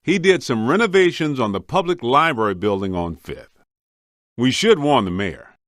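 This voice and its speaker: An actor playing a streetwise, wisecracking, grumbling ghost hunter in a scripted video game. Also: male voice, mid-range voice, animated, moderate pace, fairly bright tone, dry recording, clean studio sound, lightly acted. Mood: wry, questioning. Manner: wry, streetwise, complaining.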